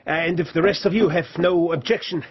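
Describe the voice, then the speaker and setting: Serious bossy doctor in a radio play, really breathy rasp, dull audio. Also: male voice, fairly high pitch, fairly even intonation, a little fast. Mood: commanding. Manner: formal, clipped, commanding. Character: grave, authoritative foreign doctor, a poisons specialist. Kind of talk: radio drama acting.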